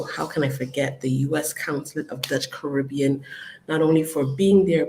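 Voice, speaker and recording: An adult host giving a casual live-stream update to followers, chatty and upbeat, with frequent ums and shout-outs. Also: medium pitch, gravelly, slight background noise, poor audio. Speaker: business community host.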